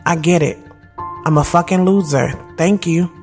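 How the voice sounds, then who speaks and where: A woman's voice: lowish voice, fairly even intonation, moderate pace, a little mellow, close to an everyday voice. Sarcastic thirty-something woman acting over music. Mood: exasperated. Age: 30s. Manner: candid, slangy, sarcastic. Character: sharp-tongued, moody thirty-year-old woman narrating her own story. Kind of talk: first-person audio drama narration and dialogue. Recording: music bed behind the voice.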